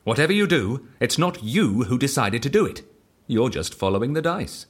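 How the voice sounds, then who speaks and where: An eccentric man who is utterly sure of his odd creed. His mid-pitched voice moves in lively swings, and he coaxes with clipped questions and assured pronouncements, as heard in an audio drama. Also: normal speed. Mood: coaxing. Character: eccentric, self-assured male devotee of random chance, coolly persuasive.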